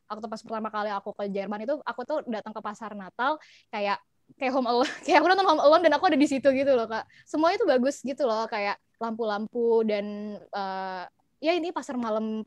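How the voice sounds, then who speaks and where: A young woman with an Indonesian accent chatting casually as an interview guest, expressive and giggly, mixing in English and German words. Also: fairly high pitch, no echo. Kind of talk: casual chat.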